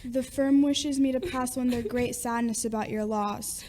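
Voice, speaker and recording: A young woman playing an apologetic company messenger in a school radio play, formal, measured and deferential. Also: on the high side, fairly even intonation, a little mellow, slight background noise, natural voice.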